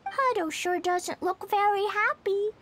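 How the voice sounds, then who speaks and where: A woman's voice: extremely high pitch, measured pace, a bit bright. A woman voicing a sweet, earnest, innocent little girl in a video game, speaking in a simple childlike way. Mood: earnest, gentle. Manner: childlike, talks of self in third person.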